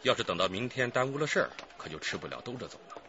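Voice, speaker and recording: An actor playing a seasoned, good-humoured police detective in a Chinese radio drama, with a husky voice. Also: male voice, medium pitch, big pitch swings, rapid-fire, sharp tone, lo-fi sound, slight background noise. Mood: good-humoured teasing.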